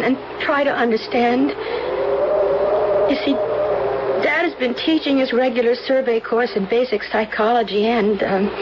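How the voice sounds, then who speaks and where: An actress playing a guilty, anguished young woman in a radio drama, halting and apologetic in her delivery. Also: high voice. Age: young adult. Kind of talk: 1970s radio drama acting. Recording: dull, noisy 1970s radio recording.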